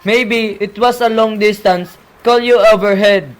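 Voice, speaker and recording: A man's voice: sits high, steady tone, unhurried, poor audio. A man playing a patient but dismissive police sergeant in an amateur radio play, placating and matter-of-fact. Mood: dismissive, reasoning.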